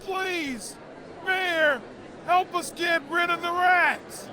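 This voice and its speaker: A man plays a worried townsman in a storybook, with a very high voice and slow delivery. A clear outdoor crowd is heard behind him. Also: background noise, heavily acted. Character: worried townsman in a children's tale.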